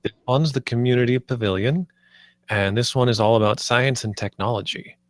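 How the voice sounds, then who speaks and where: A man's voice: medium pitch, moderate pace, no echo, lightly acted. A man playing an earnest, idealistic theme park planner in a screenplay table read, a little hesitant. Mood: enthusiastic. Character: earnest, idealistic male theme park planner named Marty, nervous, visionary.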